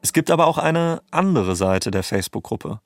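A man's voice: fairly high pitch, animated, moderate pace, faint music behind. Man narrating in an echo-free-sounding studio style. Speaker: documentary podcast host. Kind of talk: scripted narration.

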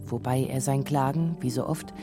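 A woman's voice: very deep voice, moderate pace, music in the background. A woman narrating a documentary feature from a script.

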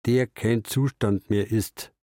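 A man's voice: lowish voice, steady tone, slow, dark tone. An actor playing a stubborn, bitter old man after a stroke, grumbling tersely and narrating himself. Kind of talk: stylised stage-drama narration and dialogue.

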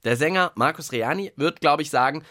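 A man's voice: fairly high pitch, animated, a little fast, pushed and bright. A man co-hosting a children's science podcast in casual, playful banter.

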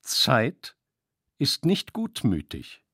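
A man reading literary prose as a narrator, in measured, deliberate phrases. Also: medium pitch, huge pitch swings, sharp tone, slightly rough, no room sound, studio quality.

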